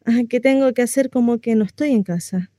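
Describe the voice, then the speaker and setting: An actress as a bright-sliding, confiding housewife in dry sound. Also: female voice, fairly high pitch, a little fast, no echo, close to an everyday voice. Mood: sarcastic, irritated. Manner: confessional, rambling, self-interrupting, dramatic. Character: confiding, mistreated housewife, chatty, naive, wryly comic. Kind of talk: theatrical comic monologue addressed to a listener.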